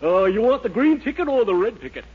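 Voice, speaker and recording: Deadpan American male clerk, highish voice, unhelpful, 1940s radio sitcom, muffled noisy old recording. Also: fast talker, husky, full character voice.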